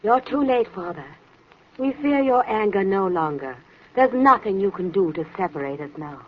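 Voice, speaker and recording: A woman's voice: mid-range voice, lively intonation, normal speed, a little hiss or hum, close to an everyday voice. Woman as impassioned, swooping young noblewoman. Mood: defiant. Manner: impassioned, declamatory. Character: defiant, lovestruck young noblewoman, a daughter. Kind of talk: 1940s radio acting. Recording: muffled old radio recording.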